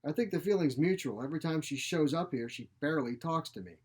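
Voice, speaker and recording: A man's voice: fairly high pitch, expressive, fast talker, a little mellow, slightly rough, pitched above the performer's own voice. Man voicing a feisty, blunt old mother. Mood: blunt, disapproving. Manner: blunt, folksy, grumbling. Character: feisty, sharp-tongued elderly widowed mother, tired old biddy. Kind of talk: short story character reading.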